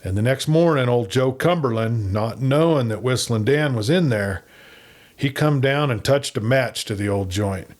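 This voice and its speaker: A man's voice: mid-range voice, animated, normal speed, lightly acted. A man as a laconic, secretive outlaw newsbringer. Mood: guarded. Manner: secretive, understated. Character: laconic, regretful outlaw bringing news.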